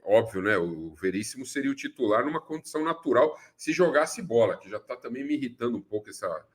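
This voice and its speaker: A man's voice: medium pitch, expressive, quick pace. São guy chatting football, swears a lot, voice goes all over the place. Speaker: co-host of a fan talk show. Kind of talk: casual football chat.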